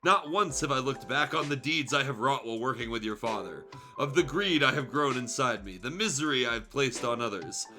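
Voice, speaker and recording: A man's voice: mid-range voice, expressive, fairly quick, sharp tone. A man voicing a tormented male ghost in chains, solemn and moaning, in a dramatic fan fiction read-aloud with music behind. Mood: mournful moaning.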